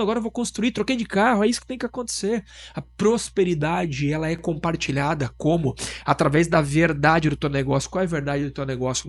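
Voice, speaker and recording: A man with a Southern Brazilian accent, a business owner talking on a podcast, emphatic and full of rhetorical questions. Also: a little high. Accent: Southern Brazilian Portuguese (Rio Grande do Sul). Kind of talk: casual podcast conversation.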